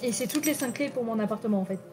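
Young woman rambling on stream, kinda high voice, faint music behind. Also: racing. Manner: rambling, lots of hesitations. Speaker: stream co-host. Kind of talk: casual chat.

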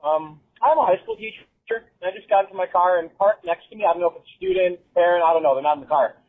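A man's voice: high voice, expressive, fast talker. A man leaving a casual, hesitant voicemail message over a lo-fi phone line. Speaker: voicemail caller, high school teacher.

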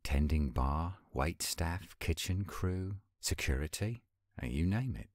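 Guy playing a young werewolf leader, super low voice, earnest n measured, audio roleplay, dry close sound. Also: lively intonation, darkish tone, no echo. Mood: earnest, hopeful. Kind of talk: roleplay audio drama acting.

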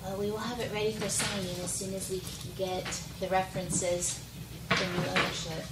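A woman's voice: mid-range voice, little pitch movement, moderate pace. A woman giving a legal report at a town council meeting in a professional, explanatory way. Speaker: village solicitor. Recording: echoey room, far mic, loud background noise.

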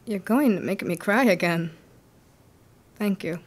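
A woman's voice: medium pitch, unhurried, close to an everyday voice. A young woman playing a sweet, self-doubting 1960s female student in an audio drama. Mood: fragile. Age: young adult. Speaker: student actor.

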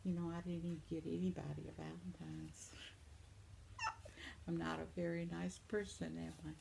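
A woman's voice: on the low side, little pitch movement, moderate pace, vocal fry, warm tone, slight background noise. An older woman, chatting at home to her viewers as she reads their comments aloud, sympathetic and reacting to each one. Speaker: vlogger. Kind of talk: casual chat reading viewer comments. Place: living room at home, seated in armchair.

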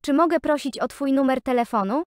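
A woman reads short prompt sentences briskly and plainly. Her voice sits high, and the recording is dry with no room sound. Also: a little mellow, no room sound. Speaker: translation reader.

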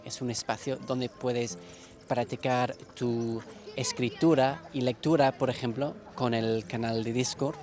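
A man's voice: medium pitch, fairly even intonation, measured pace, a little mellow, soft background music. A young man presenting to camera outdoors with a handheld mic, enthusiastic and promotional. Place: city outdoors by palm trees.

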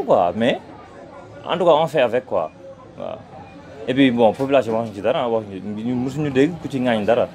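Relaxed young man in a street interview. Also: medium pitch. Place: inside a city bus. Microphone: handheld reporter mic.

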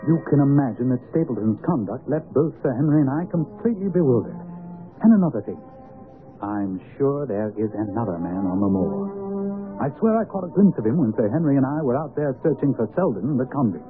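An actor playing an earnest, loyal gentleman in a radio mystery, recounting events in formal, expressive speech. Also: mid-range voice, brisk, music in the background, natural voice. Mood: puzzled, earnest. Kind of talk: 1970s radio mystery acting, narrating. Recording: tinny, noisy old radio broadcast.

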